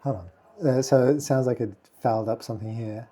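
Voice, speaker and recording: A man hosting a casual software tutorial, speaking quietly and thinking aloud with many fillers as he works. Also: medium pitch, fairly quick, slightly rough. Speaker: video tutorial host. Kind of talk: casual screen-recording tutorial.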